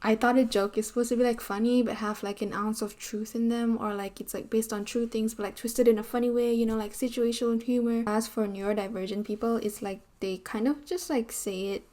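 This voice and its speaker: A woman in her twenties with a Malaysian accent, chatting casually to camera in an animated way, full of "like" and "you know". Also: a little high, a little fast, few pauses. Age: 20s. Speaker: vlogger. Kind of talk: casual talking-head vlog. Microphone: camera mic, seated on a chair.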